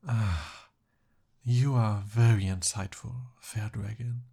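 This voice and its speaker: A man playing a smooth-talking, eager young captive prince in an ASMR roleplay, with formal, courtly and hesitant phrasing. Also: fairly low pitch, fairly even intonation, slow pace, mellow, heavily acted. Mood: smooth, scheming.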